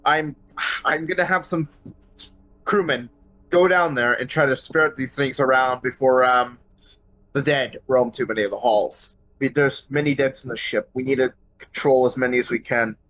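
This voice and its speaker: A man playing a shaken but resolute starship medical officer in a sci-fi roleplay audio log, speaking gravely and haltingly. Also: mid-range voice, steady tone, a bit bright, close to an everyday voice, poor audio. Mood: grim, determined. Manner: halting, grave, stammering under strain.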